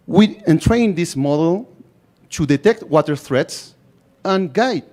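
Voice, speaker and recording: A man from Chile with a Chilean accent and native Spanish gives a rehearsed startup pitch in short, emphatic phrases. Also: darkish tone. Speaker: startup founder presenting.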